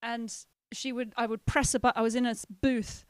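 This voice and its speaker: A woman's voice: fairly high pitch, little pitch movement, a little fast, clean tone, dry recording. A woman co-hosting a casual livestream chat, telling anecdotes, laughing often and quoting the people in them. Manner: chatty, laughs often, tells anecdotes with quoted dialogue. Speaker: co-host.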